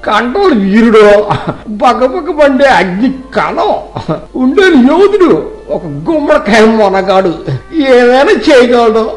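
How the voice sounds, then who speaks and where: A cartoon village servant speaks in an extremely high voice with a rustic Telugu dialect. He wheedles and heaps flattery on his master like a simpleton. Light music plays behind him. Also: a little slow, exaggerated voice. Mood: fawning admiration. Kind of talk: comic cartoon story dialogue.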